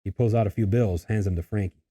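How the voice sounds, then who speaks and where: A man's voice: fairly low pitch, steady tone, quick pace, warm tone. A man narrating a screenplay, reading out scene headings and stage directions as scripted narration.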